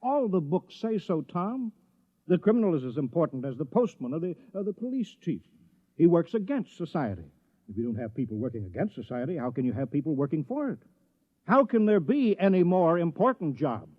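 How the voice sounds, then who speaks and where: Casting: anxious folksy mayor, highish voice with lively swings, pleading and earnest, vintage radio drama. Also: male voice, a little fast. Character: fussy, anxious small-town colony mayor, a man. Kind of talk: 1950s radio drama acting. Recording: dull, muffled vintage radio recording.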